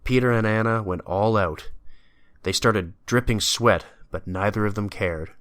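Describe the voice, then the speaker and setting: A man's voice: fairly low pitch, a little slow, a bit bright, no echo. A man narrating a scripted audio drama.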